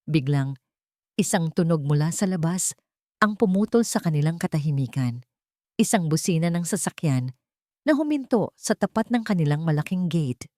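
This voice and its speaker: A woman's voice: low voice, animated, moderate pace, warm tone, no room sound, studio quality. A woman narrating a dramatic love story from a script, as a storyteller.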